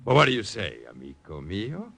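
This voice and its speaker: An actor playing a suave, scheming police chief, coaxing and smooth in 1940s radio style. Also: male voice, lowish voice, wildly swooping pitch, normal speed, very noisy.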